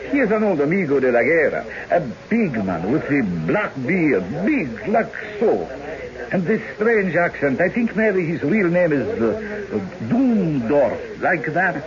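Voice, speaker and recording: A man's voice: on the high side, very animated, unhurried. An actor playing a surly foreign hard man, speaking terse broken English in a radio drama. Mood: slyly friendly. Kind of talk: old-time radio drama acting. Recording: dull noisy radio broadcast, background chatter.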